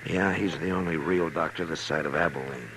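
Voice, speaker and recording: An actor playing a steady, decent frontier marshal, laconic and plainspoken, in a 1950s radio western. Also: male voice, rumbling low, brisk, crowd noise. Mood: matter-of-fact. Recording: noisy, hissy 1950s radio broadcast recording.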